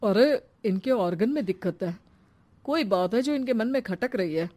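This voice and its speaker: A woman voicing a calm, grave male doctor in a cartoon story, measured and explanatory. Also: medium pitch, lightly acted.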